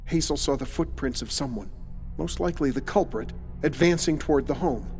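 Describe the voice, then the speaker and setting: A man's narrating voice in long reverb, set over faint music. He is fairly high in pitch and reads a horror mystery script in a measured, literary way. The tone is ominous. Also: a little mellow.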